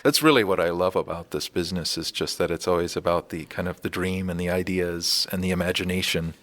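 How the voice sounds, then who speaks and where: A man in his thirties from the Detroit area, a scientist speaking in a thoughtful, halting way in an interview. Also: low voice, fairly even intonation, fairly bright tone. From Detroit area, Michigan, USA. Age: 30s. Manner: thoughtful, halting, with repeated words. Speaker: scientist, former student. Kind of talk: conversational interview.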